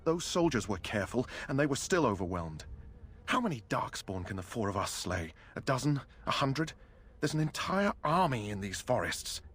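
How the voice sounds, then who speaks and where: A jittery young knight. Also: male voice, medium pitch, big pitch swings, normal speed, a bit bright, slightly rough. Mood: anxious. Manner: anxious, protesting. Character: nervous young male knight, fretful.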